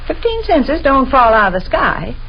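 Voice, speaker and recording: A woman's voice: high-pitched, sing-song, normal speed. A woman playing a thrifty, plain-spoken, challenging American homebody wife in a 1930s radio comedy. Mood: skeptical, scolding. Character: Sade, thrifty, nagging, folksy homebody wife. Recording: dull, noisy 1930s radio recording.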